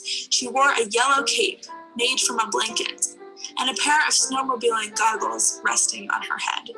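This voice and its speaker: Female storybook narrator, bright and fairly high, reading for young children over music. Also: low-quality recording. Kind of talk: children's story read-aloud with character dialogue.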